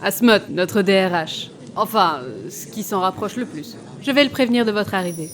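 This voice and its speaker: A woman's voice: medium pitch, animated, moderate pace, lightly acted. A woman playing a courteous, businesslike office receptionist in a French audio comedy. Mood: helpful.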